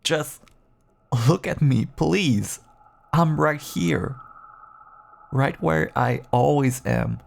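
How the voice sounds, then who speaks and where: A man playing a caged feral vampire who pleads clingily and bitterly with an estranged partner in an intimate dramatic audio roleplay, his voice husky and gravelly. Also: on the high side, very slow, pauses often, exaggerated voice. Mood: pleading, tender. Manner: clingy, pleading, swinging from hushed endearments to bitter outbursts.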